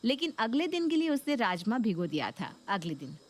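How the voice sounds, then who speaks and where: A woman's voice: fairly high pitch, sing-song, a little fast. A woman narrator reading scripted narration for a cartoon story.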